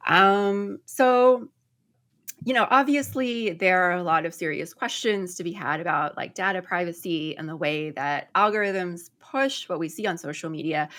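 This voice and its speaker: A woman's voice: lowish voice, fairly bright tone. A young woman talking through political news in a casual, loose way, full of ums and likes, often checking in with "right?". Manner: filler-heavy, ends points with "right?". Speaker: political commentator.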